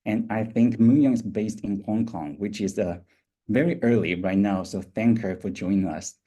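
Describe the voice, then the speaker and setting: A man's voice: on the low side, fairly even intonation, moderate pace, dark tone. A man reading formal academic introductions of speakers and their work as an editor at a roundtable.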